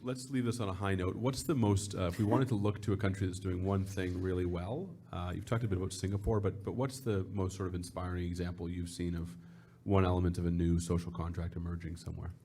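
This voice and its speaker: A man moderating a talk and asking quick, loose questions. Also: low voice, fairly even intonation, warm tone, slight background noise. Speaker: event moderator. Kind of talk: moderated conversation.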